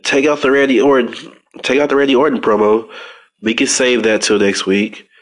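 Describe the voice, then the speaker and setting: A man's voice: medium pitch, steady tone, normal speed. A man with a stuffy nose hosting a casual wrestling podcast, rambling with frequent restarts and fillers. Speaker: podcast host.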